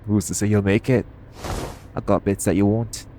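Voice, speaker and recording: A man's voice: lowish voice, steady tone, moderate pace, noisy background, natural voice. A man playing a cocky, teasing, foul-mouthed hitman in an audio roleplay. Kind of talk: audio roleplay acting addressed to the listener.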